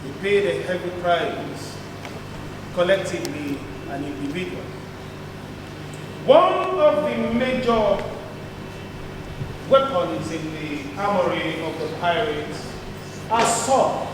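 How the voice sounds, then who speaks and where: A man presenting on stage in a theatrical, declamatory scripted style, with deliberate phrasing and dramatic pauses. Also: fairly high pitch, expressive. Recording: echoey hall from far off, noisy.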